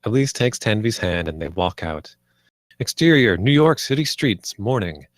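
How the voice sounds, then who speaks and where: Guy w a low-ish voice reading script stage directions, plain n measured, dry sound. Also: very animated, dry recording. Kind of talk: scripted narration at a screenplay table read.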